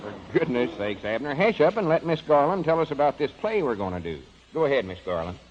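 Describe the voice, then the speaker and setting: An actor playing an exasperated, self-important but ignorant country fellow with a rural Southern accent, folksy and scolding, in 1940s radio comedy. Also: male voice, mid-range voice, big pitch swings, brisk, lightly acted. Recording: dull 1940s radio broadcast recording.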